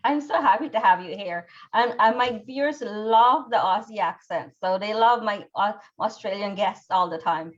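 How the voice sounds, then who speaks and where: A woman's voice: mid-range voice, normal speed, few pauses. A woman hosting a live talk show, chatting and introducing things in an effusive way. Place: online live video stream. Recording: dull, lo-fi online stream.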